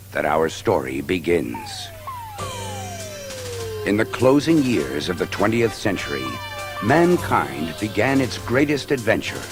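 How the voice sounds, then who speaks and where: A man playing a solemn elder insect warrior, telling sacred history in a grave, theatrical storybook-record style. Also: fairly low pitch. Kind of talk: dramatic scripted storytelling on a children's story record. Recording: studio story record with music underneath.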